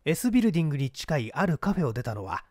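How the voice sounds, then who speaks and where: Dramatic male mystery narrator, lively intonation. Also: on the high side, fast, no echo, studio quality. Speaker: audiobook narrator, stage actor.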